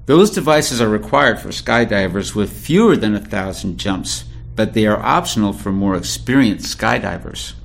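A man reading a scripted news report on the radio in a measured, sober manner. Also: lowish voice, expressive, gravelly.

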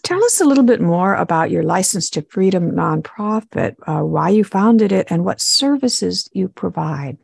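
A woman's voice: mid-range voice, sing-song, a little fast, vocal fry, few pauses, a little mellow, slightly rough, no room sound, clean studio sound. A woman hosting an interview, asking her guest questions and encouraging her with brief affirmations. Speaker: interviewer, host.

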